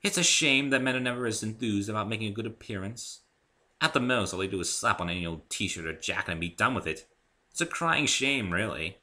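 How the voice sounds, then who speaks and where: A refined lady unicorn, acted out by a male reader gushes and gossips in a story. The voice is pitched up, swooping and bright, with posh, dramatic airs and lingering emphasis on choice words. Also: animated, brisk. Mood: regretful. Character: refined, theatrical female unicorn fashion designer, gushing and gossipy.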